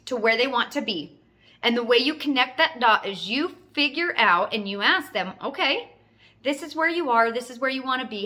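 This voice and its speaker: A woman's voice: fairly high pitch, pushed and bright. A woman giving an informal sales training talk as a coach, emphatic and instructive, repeating key phrases for stress. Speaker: network marketing coach.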